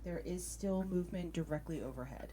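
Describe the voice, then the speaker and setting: A woman's voice: fairly low pitch, a little fast, mellow, a little hiss or hum. A woman working as game master, narrating scenes and putting questions to players in an informal way. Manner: informal, addresses players as "you guys". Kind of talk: narrating and refereeing a tabletop roleplaying game.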